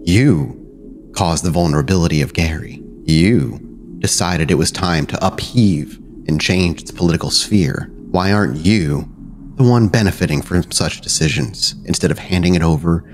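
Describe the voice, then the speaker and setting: A man's voice: low voice, normal speed, a little hiss or hum. A man voicing a dead vampire sire speaking from a mirror in a tabletop roleplay, needling and grandiose, pressing with rhetorical questions. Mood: goading, grand.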